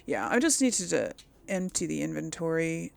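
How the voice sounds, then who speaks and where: A woman's voice: sits low, very animated, moderate pace, vocal fry. A woman giving casual, chatty gameplay commentary as host, musing aloud and often trailing off. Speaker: gaming video host.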